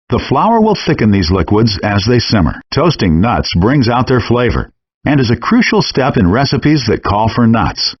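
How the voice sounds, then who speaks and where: A man's voice: medium pitch, dry recording. A man reading scripted step-by-step instructions as the narrator of a game. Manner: clear step-by-step explaining. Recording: dull, compressed handheld game audio.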